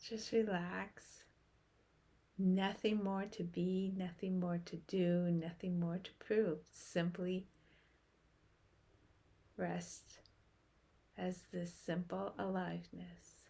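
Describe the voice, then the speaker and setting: A woman leading a guided meditation in a soft, hushed voice that trails toward a whisper, with long pauses between short phrases. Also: lowish voice, flat delivery, gravelly.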